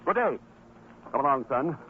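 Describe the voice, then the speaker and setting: A man's voice: mid-range voice, expressive, normal speed, narrow, tinny audio, natural voice. Swooping, brusque fisherman father played by a man. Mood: gruff, stern. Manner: brusque, commanding, impatient. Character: gruff, shrewd fisherman father, restless gambler with short temper. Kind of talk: old radio drama acting. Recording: thin, band-limited old radio recording, faint noise.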